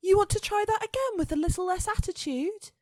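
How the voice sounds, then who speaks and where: A woman's voice: very high-pitched, fairly quick, darkish tone, no room sound, clean studio sound. A woman playing a haughty, impatient immortal entity in a radio play, scornful, sardonic and sweary.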